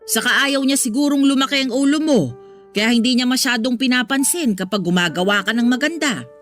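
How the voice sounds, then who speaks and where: Patient filipino mom, middle-aged, highish voice, reassuring but firm, radio drama, faint music. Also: female voice, brisk, fairly bright tone. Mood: soothing. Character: patient, protective middle-aged mother soothing her daughter.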